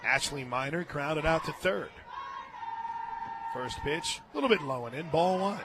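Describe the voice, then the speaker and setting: An American man doing live radio play-by-play of softball, conversational and clipped, lifting into emphatic calls. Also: a little high, lively intonation, unhurried, background noise. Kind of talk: live softball radio commentary with casual banter. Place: stadium radio booth.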